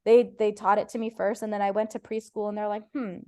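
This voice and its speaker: A woman's voice: medium pitch, little pitch movement, fast talker, a little mellow. A woman comedian from Ohio, whose first language was Farsi, chatting casually as a podcast guest, telling stories with playful voiced quotes. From United States (Ohio).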